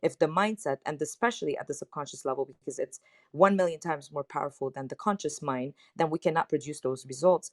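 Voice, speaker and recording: A woman from Lebanon, English not her first language, speaking as a panelist in an animated, emphatic, personal way. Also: low voice, dry recording, studio quality. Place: online audio chat room.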